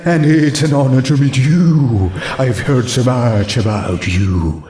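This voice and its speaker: A man voicing a gentle, shy female ghost pony seamstress, hesitant and airily polite, in a story reading. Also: medium pitch, takes it slowly, reverberant, natural voice.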